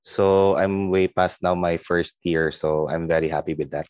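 Middle-aged male guest, Filipino accent, deep register, dry recording, conversational delivery. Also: fairly even intonation, normal speed, a little mellow, clear, resonant tone, dry recording. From Philippines. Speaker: sales lead, interview guest. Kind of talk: casual interview chat.

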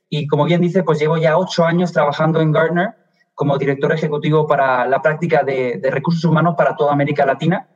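A man's voice: on the high side, darkish tone, highs cut off. A man from Spain with a Spanish accent, answering interview questions over a video call in a friendly, joking way. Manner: friendly, joking, then explanatory. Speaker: guest expert.

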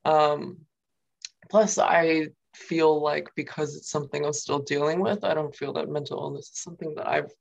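A woman's voice: low voice, fairly even intonation, normal speed, vocal fry, lo-fi sound. A young woman from New York, talking casually as an interview guest and filling her speech with "like" and "um". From New York, USA. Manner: rambling, many fillers and "like". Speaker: guest poet.

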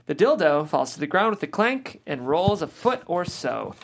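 A woman reading stage directions in a measured, matter-of-fact way at a table read. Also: deep voice, very animated, creaky. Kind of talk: scripted narration of stage directions.

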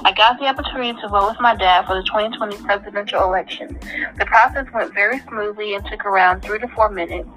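Woman, 20s, voter testimonial, smooth, prepared delivery, mid pitch. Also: fast talker, fairly bright tone, faint music behind, low-quality recording. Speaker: voter interviewee. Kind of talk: recorded testimonial.

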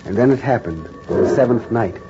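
A man narrating as a grave, measured reporter. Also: mid-range voice, lively intonation, close to an everyday voice. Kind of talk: 1940s radio drama narration. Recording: dull 1940s radio broadcast recording with music.

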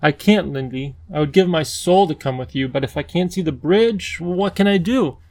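A man's voice: a little high, normal speed, a little mellow, no room sound, lightly acted. A man voicing a kindly, fussy older male professor who speaks in a flustered, old-fashioned way. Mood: anxious, refusing.

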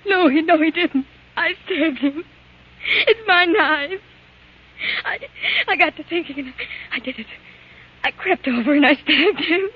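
A woman's voice: high-pitched, a little slow, pauses often. An actress playing a distraught, guilt-ridden young woman in 1940s radio drama style. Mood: sobbing, desperate. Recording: muffled old radio recording.

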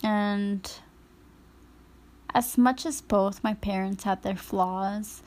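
A woman's voice: mid-range voice, steady tone, very slow, a little mellow. A woman speaking reflectively about her upbringing, hesitant, with many fillers. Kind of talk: reflective personal testimony.

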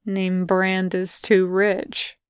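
A woman voicing a tired, sardonic young man in an audio fanfic reading, speaking in a dry, slow deadpan. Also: mid-range voice, clear, resonant tone, dry recording. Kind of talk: podfic character voice.

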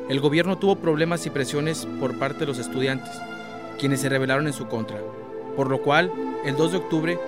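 High-pitched male narrator over music. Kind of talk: scripted documentary narration for radio drama.

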